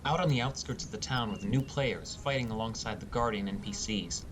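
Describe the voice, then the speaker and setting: A man reading a first-person fantasy story as an audiobook narrator. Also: fairly quick. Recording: rumbly background noise.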